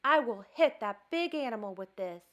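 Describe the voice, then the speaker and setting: A woman's voice: on the high side, lively intonation, normal speed, a bit bright. A woman voicing an anxious, devoted male toad in a storybook, speaking with dramatic emphasis. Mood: determined. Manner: dramatic, emphatic worrying and questioning.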